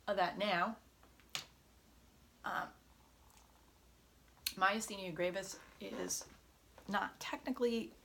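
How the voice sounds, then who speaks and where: A woman chatting in an unscripted home vlog, often pausing with fillers and correcting herself, her voice hoarse at times. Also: medium pitch, pushed and bright, a little hiss or hum. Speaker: vlogger. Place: home room. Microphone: camera on a tripod.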